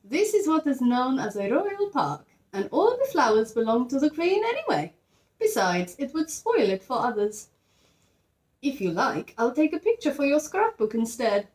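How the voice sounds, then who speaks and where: A woman voicing a kindly older gentleman in a children's storybook, courteous and patiently explaining. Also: high voice, sing-song, moderate pace, echoey room, voice pushed up.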